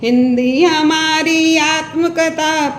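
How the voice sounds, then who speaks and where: A woman's voice: high-pitched, steady tone, extremely slow, soft background music. A woman poet giving an earnest speech and then reciting her poem in a declamatory way, repeating lines with rising emphasis. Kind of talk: speech on language followed by poetry recitation. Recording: echoey room.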